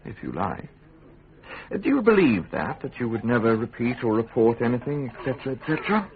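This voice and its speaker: An actor with an English accent playing a smug, worldly government schemer in a Tudor radio drama, teasing and insinuating with pointed pauses. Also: male voice, mid-range voice, sing-song. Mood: probing. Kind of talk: British radio drama acting. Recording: tinny narrow-band radio recording.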